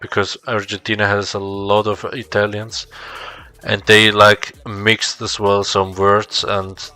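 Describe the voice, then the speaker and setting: A man's voice: lowish voice, monotone, slow pace, bright, forceful tone, clear, resonant tone, no room sound. A man from Spain whose native language is Spanish, chatting casually and searching for his words as he goes. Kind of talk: casual chat.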